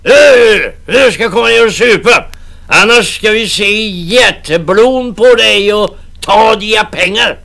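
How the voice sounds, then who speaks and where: Drunk rowdy railway laborers, read by a man: loud, raised, shouting threats. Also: sits high, vocal fry, voice pushed up, full character voice. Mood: bullying.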